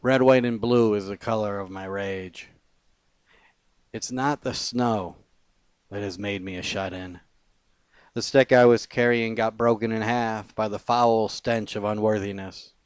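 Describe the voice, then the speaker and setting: A man with a fairly low voice gives a spoken-word poetry reading. He phrases deliberately, pausing between short lines. The sound is dry, with very little room around the voice. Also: fairly even intonation, clean tone, no room sound. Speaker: poet.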